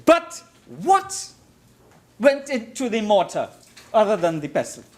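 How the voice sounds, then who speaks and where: A man's voice: high-pitched, sing-song, unhurried, darkish tone, a little hiss or hum. A Trinidadian man giving a formal parliamentary debate speech as an opposition member, emphatic and oratorical, repeating phrases for effect. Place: parliament chamber.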